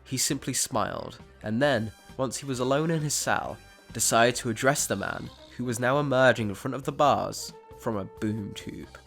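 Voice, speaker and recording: A man's voice: medium pitch, moderate pace, vocal fry, a bit bright. A man narrating a scripted dramatic story in the role of storyteller. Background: music.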